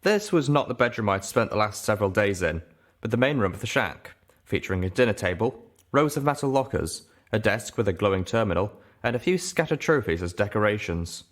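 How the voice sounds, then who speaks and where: A man reading a story aloud as an expressive first-person narrator. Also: fairly low pitch, a little fast. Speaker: audiobook reader.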